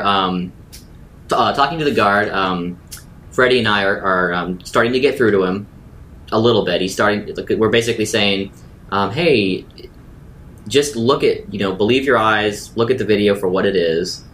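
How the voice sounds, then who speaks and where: A man with a fairly low voice plays a tabletop roleplaying game. He improvises dialogue and narration. There is faint noise and rumble behind him. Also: moderate pace, a bit bright.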